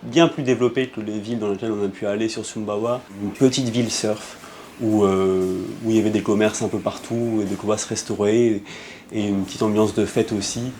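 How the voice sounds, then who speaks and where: A man narrating his surf trip in a casual, relaxed way, pausing often with fillers. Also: lowish voice, slight background noise. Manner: relaxed, hesitant with many fillers.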